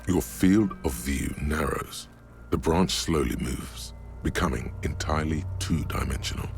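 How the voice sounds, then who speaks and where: A man narrates in the second person with slow, ominous weight, as in a story-driven game. His voice is extremely low and gravelly, with a faint music bed underneath. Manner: measured, ominous, literary.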